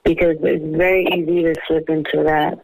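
A woman's voice: sits low, flat delivery, moderate pace, darkish tone, no room sound, poor audio. A woman from the United States, a singer taking part in a phone interview, talking in an upbeat, casual and self-assured way. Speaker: singer, guest on a phone call.

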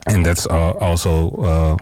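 Very deep-voiced Dutch radio host. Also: male voice, fairly even intonation, unhurried, dark tone, a little hiss or hum. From Netherlands. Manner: hesitant, many fillers, friendly. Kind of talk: casual radio chat and interview.

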